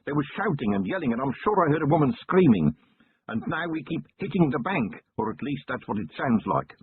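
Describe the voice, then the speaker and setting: A man voicing a nervous, plump male chicken farmer who over-explains and says "sir" constantly, in a raspy voice. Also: mid-range voice, wildly swooping pitch, fairly quick, voice pushed up, full character voice. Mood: anxious. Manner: pleading, over-explaining, keeps saying sir. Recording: muffled lo-fi narrow-band recording.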